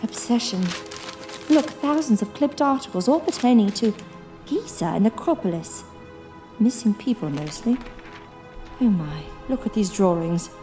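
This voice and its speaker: A woman's voice: medium pitch, animated, measured pace, natural voice. A woman playing an anxious, observant investigator in a period horror audio drama, speaking earnestly and formally with an uneasy edge. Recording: faint music under the voice. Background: faint music.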